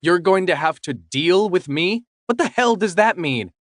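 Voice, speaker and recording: A man voicing a young girlfriend who is teasing and affectionate, then sharp and questioning. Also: high voice, expressive, a little slow, no room sound, studio quality, voice pushed up. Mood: upset, questioning.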